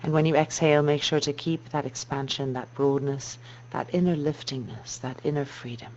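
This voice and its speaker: A woman yoga teacher guiding a meditation in a slow, soft, calming and measured way. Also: low-pitched. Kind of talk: guided meditation instruction. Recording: dull muffled recording with noise and hum.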